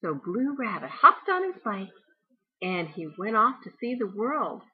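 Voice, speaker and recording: A woman's voice: medium pitch, very animated, unhurried, slightly rough, phone-quality sound. An older woman, a grandmother, reading a storybook to a child with casual asides.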